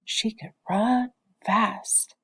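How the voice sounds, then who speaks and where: A woman reading the part of a gentle, reserved preacher father in a storybook, in a measured, deliberate way. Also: mid-range voice, creaky, husky, pitched above the performer's own voice, full character voice. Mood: wistful.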